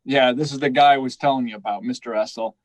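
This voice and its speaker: A man's voice: medium pitch, fairly even intonation, quick pace, no room sound, natural voice. A man playing an obliging, slippery hotel house detective in a radio crime play, eager and deferential. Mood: eager, nervous. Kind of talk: old-time radio detective drama acting.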